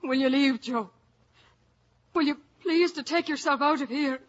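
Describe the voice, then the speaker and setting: An actress playing a proud, heartfelt young Irish daughter in a radio drama, her voice slightly husky. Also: high-pitched, a little slow. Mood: hurt, proud. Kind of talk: 1950s radio drama acting. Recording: muffled vintage radio broadcast.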